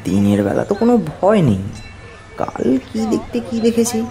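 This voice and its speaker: A man's voice: high voice, wildly swooping pitch, moderate pace, dark tone, heavily acted. A man playing a bold village boy in an audio story, eager and insistent as he persuades a friend. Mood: eager, firm.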